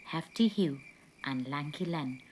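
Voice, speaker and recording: Slow, low-pitched woman reading a storybook. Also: slight background noise. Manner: deliberate, animated with acted animal noises and voices. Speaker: teacher reading a storybook aloud. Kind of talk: expressive children's storybook narration.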